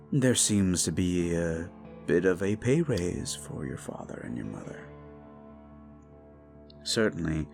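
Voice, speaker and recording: Casting: male actor as a paranoid, possessive prince, low and unhurried, whispery menace. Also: vocal fry, exaggerated voice. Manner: soft, slow, often whispering, menacing. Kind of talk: audio roleplay drama. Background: background music.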